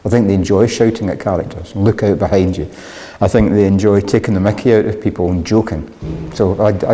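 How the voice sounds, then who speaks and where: A man's voice: lowish voice, warm tone. A man giving a considered, explanatory answer in an interview about theatre. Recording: long hall reverb.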